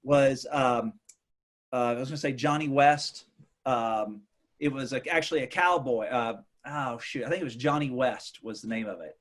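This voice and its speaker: Male podcast guest, average pitch, conversational, somewhat hesitant as he thinks aloud. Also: normal speed. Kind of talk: casual chat.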